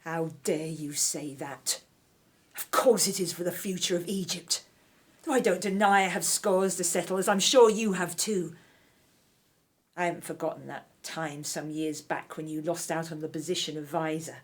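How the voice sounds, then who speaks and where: Haughty, low-voiced scheming queen played by a woman. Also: steady tone, moderate pace, close to an everyday voice. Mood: venomous, haughty. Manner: haughty, cutting, imperious. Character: ruthless scheming vengeful royal wife of ancient Egypt. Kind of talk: radio drama acting.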